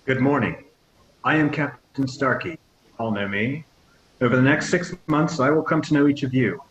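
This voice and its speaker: A man voicing a warm, commanding captain in his fifties, giving a measured speech in a table read. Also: medium pitch, lightly acted. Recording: echoey, muffled, hissy audio.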